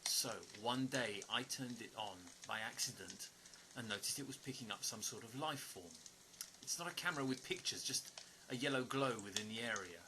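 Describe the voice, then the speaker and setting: A man playing an earnest stranger pleading for trust in an audio drama, speaking haltingly and repeating words. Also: mid-range voice, noisy background, close to an everyday voice. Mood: explanatory, guarded. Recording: music under the voice. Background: music.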